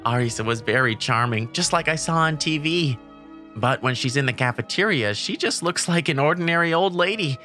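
A woman's voice: deep voice, lively intonation, brisk, fairly bright tone, faint music behind. A woman voicing a shy teenage boy who narrates the story and stammers when flustered, in a manga dub. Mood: narrating. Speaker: narrator and lead character.

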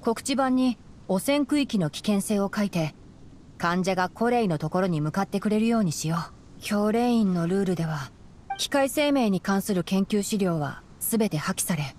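A woman voicing a calm young male fox-eared forest scholar in a Japanese anime game, explaining in a measured, boyish way. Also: medium pitch, slight background noise, close to an everyday voice. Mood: composed, serious. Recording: music behind the voice.

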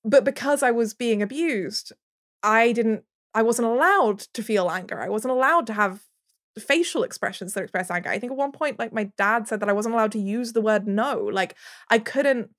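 A woman guest on a podcast speaking earnestly and personally, with emphatic piled-up lists of words. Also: fairly high pitch.

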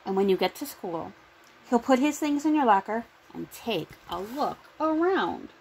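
A middle-aged woman with a high voice reads a children's story aloud. She is recorded in a small room at home, on a device at about arm's length. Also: big pitch swings, measured pace, creaky, darkish tone, a little hiss or hum, low-quality recording. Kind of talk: picture book read-aloud.

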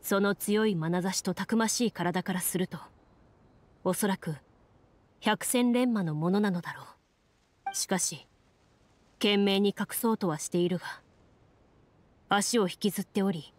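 A woman playing a composed, serious young duelist who tells lore in a measured, matter-of-fact way for a video game. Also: mid-range voice, lightly acted. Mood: measured narration. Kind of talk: scripted game dialogue and storytelling.

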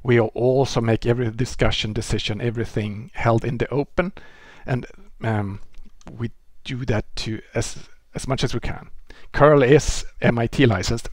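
A man in his forties with a Swedish accent, giving a relaxed technical lecture over a headset mic, often restarting and filling with "uh" and "you know". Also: medium pitch, dry recording, studio quality. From Sweden. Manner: relaxed explaining, with frequent restarts and fillers. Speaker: presenter. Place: indoor room.

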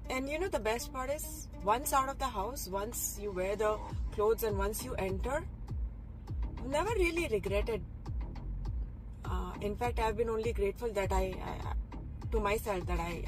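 A woman's voice: mid-range voice, moderate pace, music in the background, rough recording. A middle-aged woman giving a reflective motivational talk from inside a car, with many restarts and repeated phrases. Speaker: vlogger. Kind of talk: motivational talk while driving. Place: inside a parked or moving car. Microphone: phone camera close, angled up from below.

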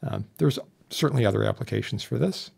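Male instructor, low-pitched and raspy, informal screen-tutorial delivery with plain explanations. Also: a little fast, darkish tone. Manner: informal, explanatory with homely analogies. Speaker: instructor demonstrating audio software. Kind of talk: casual software tutorial narration. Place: indoor voice-over for a screen recording.

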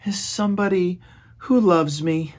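A small, lovesick male mouse from a storybook, dreamy and distracted, drawing out each word, put on by a man reading aloud. The voice lifts very high and stays gentle and breathy. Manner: soft, slow, wistful.

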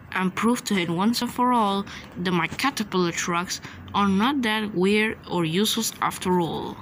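A woman voicing a cheerful, friendly male tractor in a sing-song, gently teasing way, as in a fan-made cartoon voice reel. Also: medium pitch, a little hiss or hum.

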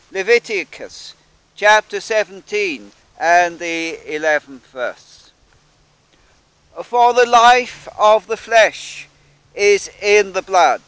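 A man's voice: high-pitched, bright, forceful tone, smooth, dry recording, low-quality recording. An older man outdoors in a churchyard, close to a laptop camera, praying aloud in solemn old-fashioned language. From England. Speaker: intercessor. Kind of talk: spoken prayer and scripture reading. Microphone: webcam or laptop close to face.

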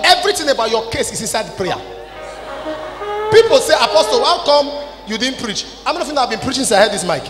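A Nigerian man in his forties preaching to a congregation in an emphatic, scolding way, in short declarative phrases. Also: high-pitched. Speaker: preacher, apostle leading a church service. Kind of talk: sermon, addressing a congregation. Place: large church hall, on stage. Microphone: handheld microphone. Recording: echoey large hall PA, music behind.

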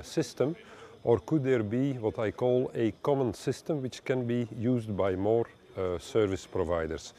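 A man, giving measured explanatory interview answers in phrasing. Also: fairly low pitch, dark tone. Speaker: head of engineering, interviewee. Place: large indoor operations room. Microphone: lapel mic.

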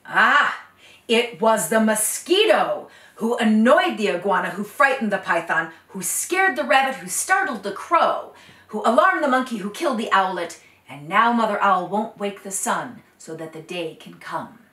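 A woman voicing a pompous male lion king who declaims slowly and loudly. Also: mid-range voice, big pitch swings, roomy sound, recorded from a distance. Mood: pronouncing. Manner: slow, loud, declaiming.

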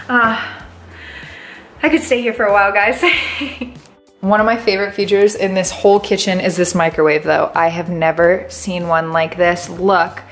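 A young woman with a bright, high voice giving a gushing walkthrough of a house for sale. She talks to a camera held at arm's length, and a faint room hum sits behind her. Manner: bubbly, enthusiastic, gushing. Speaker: real estate agent. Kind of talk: upbeat house tour vlog. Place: inside a large empty house. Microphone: handheld camera at arm's length.

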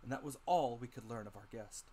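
A man's voice: medium pitch, a little fast, mellow, a little hiss or hum. A man narrating a classic adventure novel as a dramatic audiobook reading.

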